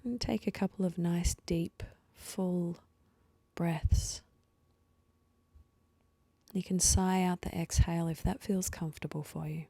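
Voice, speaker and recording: A woman leading a guided meditation with slow, soothing instructions and pauses, her voice soft and at times breathy. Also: lowish voice, fairly even intonation, no room sound.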